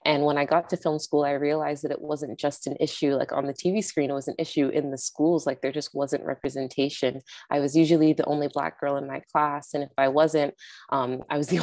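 Low, mellow-voiced young woman on a call. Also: no room sound. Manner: casual, earnest, many fillers like "like" and "um". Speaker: film director and network founder. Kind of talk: conversational interview answers. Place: indoors with a virtual background. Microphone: wired earbud mic on a video call.

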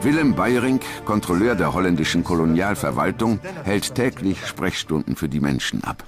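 Male narrator, very low register, solemn scripted documentary read with clearly audible music underneath. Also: expressive, moderate pace.

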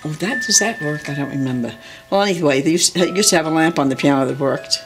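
A woman's voice: sits low, fast. Older lady doing a casual home tour, rambly and chatty, music playing in the background. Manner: chatty, meandering, trailing off and correcting herself. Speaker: dollhouse owner giving a tour. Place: indoors at home, filming a dollhouse.

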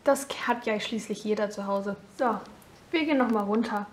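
A woman's voice: mid-range voice, normal speed, slight background noise, lightly acted. A young female speaker voicing a cheerful thirty-three-year-old housewife who hosts a family vlog in a homemade toy-figure film. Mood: chatty cheerful. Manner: chatty, casual tour-guide talk. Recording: roomy echo.

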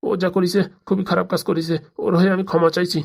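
A woman's voice: on the low side, little pitch movement, fast talker, gravelly. A woman voicing a pleading, complaining older village uncle and henpecked husband in a comic cartoon.

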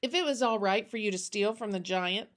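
A woman's voice: medium pitch, brisk, close to an everyday voice. A woman voicing an eager, earnest and curious little girl in a storybook reading. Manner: bouncy, questioning, earnest.

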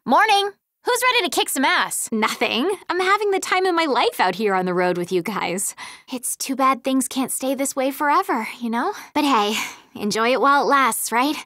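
A woman playing a cheerful, supportive teenage girl companion in a fantasy video game, with a perky, expressive delivery. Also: high voice, no room sound, clean studio sound, exaggerated voice.

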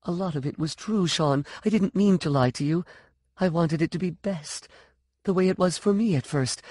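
A man's voice: medium pitch, takes it slowly, mellow, dry recording, voice pushed up. A crazy old sorceress out of a storybook, played by a man, coaxes and wheedles. She is condescending yet childlike, and the recording is dry and close to silent around the words. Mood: frightened, begging. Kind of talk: audiobook character dialogue.

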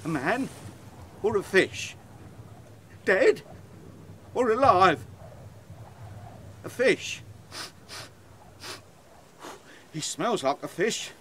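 An actor playing a cowardly, curious clown in a Shakespearean comic audio play, exclaiming and musing aloud theatrically. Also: male voice, sits high, sing-song, slow pace, long pauses, fairly bright tone, exaggerated voice. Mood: nervous, puzzled. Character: jittery, curious, cowardly male clown castaway. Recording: clear background storm noise.

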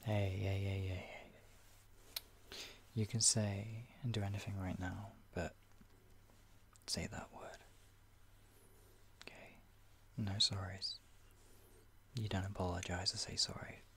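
A young man gently comforting the listener in a whispered roleplay, speaking in a soothing, caring way. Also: fairly low pitch, flat delivery, unhurried, vocal fry, long pauses, a little hiss or hum.

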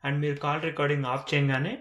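A man's voice: on the high side, little pitch movement, racing, fairly bright tone. A man with a Telugu accent presenting a tech walkthrough, explaining features step by step and mixing in English terms. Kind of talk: phone feature walkthrough narration.

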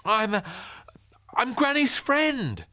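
A man voicing a panicky young boy who stammers in a squeaky voice. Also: huge pitch swings, slow, sharp tone, exaggerated voice. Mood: panicked.

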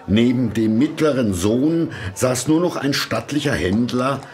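A man narrating a scripted fairy tale in a deliberate, expressive storytelling manner. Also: medium pitch. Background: music.

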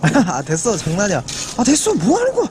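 A man's voice: high voice, huge pitch swings, a little fast, a little hiss or hum. A man chatting casually with his spouse in an insistent, playful way. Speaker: family vlogger. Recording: loud music behind the voice.

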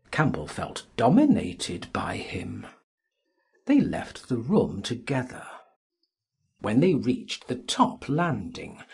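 A man narrating a classic novel as a dramatic audiobook, theatrical and deliberate in his delivery. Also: medium pitch, breathy edge.

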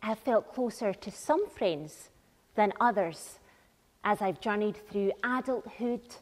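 A woman in her thirties giving a conversational, reflective sermon in a church, pausing between phrases. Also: medium pitch. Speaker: minister leading the service. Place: large church interior, at the lectern. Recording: reverberant church hall.

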